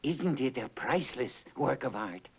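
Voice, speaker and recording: A man voicing a sly swindler weaver, a smooth, wheedling flatterer, in a storybook tale. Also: medium pitch, measured pace, pitched above the performer's own voice, heavily acted. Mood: smug, coaxing. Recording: dull lo-fi tape, music behind.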